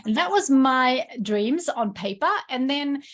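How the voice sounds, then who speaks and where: A woman's voice: high voice, lively intonation, a little slow, a bit bright. Enthusiastic Swedish-accented woman presenter. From Sweden. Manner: enthusiastic, chatty. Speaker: workshop presenter, entrepreneur and coach. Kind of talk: informal online workshop talk.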